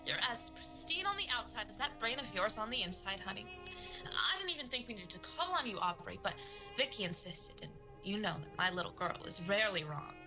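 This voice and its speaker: A woman playing a boastful, chatty, overbearing mother in a comedic audio drama, gushing and quick to interrupt. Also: medium pitch, big pitch swings, over music, rough recording. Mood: gushing, proud. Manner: gushing, interrupting, nervously dismissive.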